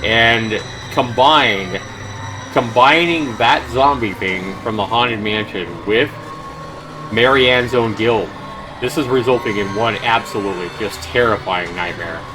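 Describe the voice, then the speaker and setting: Male game streamer, lowish voice, conversational emphatic commentary, reading aloud, faint noise. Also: unhurried.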